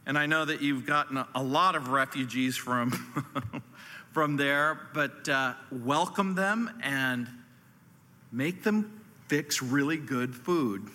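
An American man in his sixties from New Orleans, preaching as a guest pastor with deliberate pauses and folksy, dry humour. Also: mid-range voice, bright, forceful tone. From New Orleans, southern United States. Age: 60s. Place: large indoor hall. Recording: echoey hall, long reverb.

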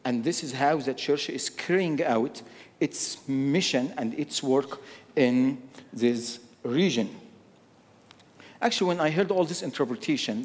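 Slow preacher in a reverberant hall. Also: male voice, mid-range voice. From Egypt. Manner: slow, deliberate, repeats key phrases. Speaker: guest preacher. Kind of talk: sermon. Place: church sanctuary. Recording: long church hall reverb.

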